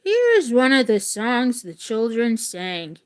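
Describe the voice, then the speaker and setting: Female teacher for read-aloud narration: unhurried, very animated intonation, storytelling delivery with informal explanatory asides. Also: on the high side, clean tone. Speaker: teacher reading a book aloud.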